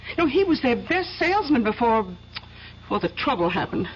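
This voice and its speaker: An anxious, high-strung wife. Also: female voice, sing-song. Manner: breathless, anxious, quick to take offense. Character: anxious young wife of a jealous man. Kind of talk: 1970s radio drama acting. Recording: dull old radio recording, faint hiss.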